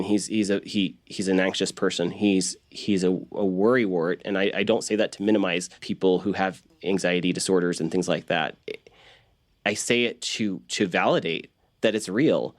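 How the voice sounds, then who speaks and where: A man talking casually in an interview as a voice actor, halting and repeating words as he explains. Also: low-pitched, steady tone, no room sound.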